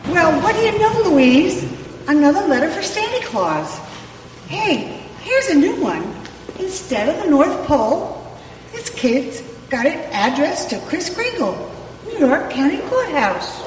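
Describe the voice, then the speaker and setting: A brash, excitable working-class postwoman as a woman plays her in an old-time radio play. Her voice is high and lively with a drawn-out pace. It rings in a large, echoey space. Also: recorded from a distance. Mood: brash, excited. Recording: echoey hall from afar, faint noise.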